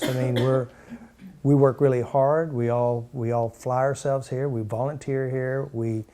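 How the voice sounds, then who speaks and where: A man's voice: medium pitch, mellow. A man explaining the program in casual, earnest talk with many restarts. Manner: loose, restarts often, plain and earnest. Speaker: method founder and trainer.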